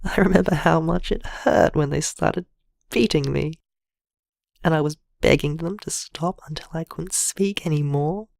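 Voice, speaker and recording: An actor playing a traumatised, gentle man leaving a halting, vulnerable voicemail in an intimate audio drama. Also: a little high, gravelly, dry recording. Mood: anguished, tearful. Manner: halting, hesitant, emotionally vulnerable.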